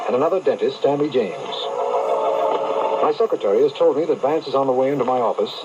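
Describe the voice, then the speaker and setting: An actor playing a businesslike district attorney in an old-time radio drama, formal and matter-of-fact. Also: male voice, mid-range voice, animated, fast talker, over loud music, natural voice. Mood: formal, expository. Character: businesslike male district attorney narrating a case. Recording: muffled, very noisy AM radio reception.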